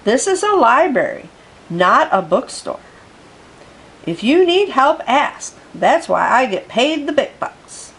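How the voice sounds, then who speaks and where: A woman's voice: high-pitched, big pitch swings, slow, fairly bright tone, pitched above the performer's own voice, full character voice. A woman reading aloud, voicing a strict female school librarian who was once a dragon and lectures the children on library rules. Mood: stern.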